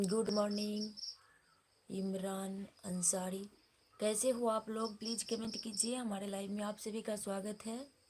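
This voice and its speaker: Woman live-streamer from Bihar, flat delivery. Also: medium pitch, a little slow, a little hiss or hum. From Bihar, India. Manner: repetitive, friendly greetings asking viewers to comment. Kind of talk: casual live-stream chat greeting viewers.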